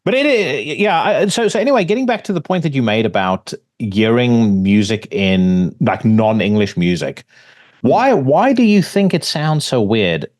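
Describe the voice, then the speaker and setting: A man from Africa, hosting a podcast in relaxed, casual talk with some swearing and stuttered starts. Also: medium pitch, measured pace. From South Africa. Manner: relaxed, swears, repeats words when starting. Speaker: podcast host. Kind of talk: casual conversation.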